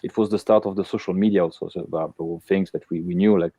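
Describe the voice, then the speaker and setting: A man's voice: deep voice, steady tone, quick pace, mellow, no room sound. A man from Belgium hosting a casual live interview, talking with many fillers and restarts. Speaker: host.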